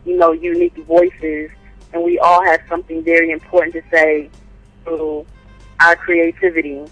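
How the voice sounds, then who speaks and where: Bright, thoughtful woman guest poet. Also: deep voice, lively intonation, no room sound, dull audio, soft background music. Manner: thoughtful, with frequent pauses and fillers. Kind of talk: phone-in interview answers. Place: calling in from home by phone.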